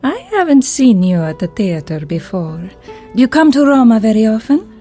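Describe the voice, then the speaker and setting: An actress playing a playful, flirtatious foreign high-society lady in a radio drama. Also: big pitch swings, moderate pace, warm tone. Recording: music behind.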